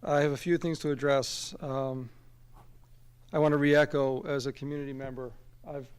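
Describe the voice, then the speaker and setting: A man speaking earnestly and deliberately as a member of the public at a local meeting, arguing his point at a microphone. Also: medium pitch, flat delivery, smooth. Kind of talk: public comment speech at a local government meeting. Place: town committee meeting room. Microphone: standing at a public microphone.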